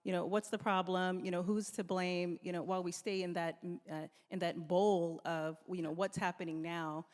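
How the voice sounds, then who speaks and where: A woman's voice: fairly low pitch, little pitch movement, moderate pace, hardly any pauses, darkish tone. A woman, a nurse, offering reflective impromptu remarks and repeating her key phrases. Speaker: nurse, association representative. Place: large indoor hall at a live event. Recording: echoey hall with long reverb.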